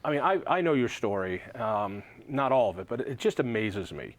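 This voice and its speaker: Lively joking male host. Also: mid-range voice, moderate pace. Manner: joking, teasing interviewer. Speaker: talk show host. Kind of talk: interview hosting.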